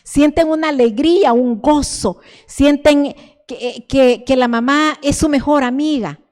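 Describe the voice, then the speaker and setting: An older woman from El Salvador speaks with a Salvadoran Spanish accent as she teaches a sermon to her church. Her delivery is fervent and repetitive, with frequent stumbling restarts, in a fairly high voice. The sound rings with the long reverb of a church hall. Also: a little slow, a little mellow.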